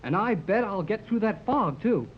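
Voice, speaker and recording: An actor playing an eager, impulsive American boy adventurer in a 1930s radio serial, excitable and full of exclamations. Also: high-pitched, normal speed, slight background noise. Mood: eager, impatient. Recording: dull old 1930s radio recording.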